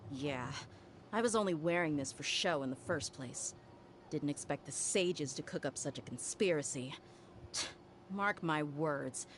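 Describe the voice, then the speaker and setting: A woman's voice: low voice, measured pace, a little hiss or hum. A woman streamer reading video game dialogue aloud.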